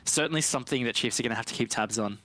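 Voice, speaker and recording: A man's voice: medium pitch, very fast, a bit bright. A man commentating a live esports match in a conversational, analytical way with casual banter. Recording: game gunfire noise behind voice. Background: video game gunfire.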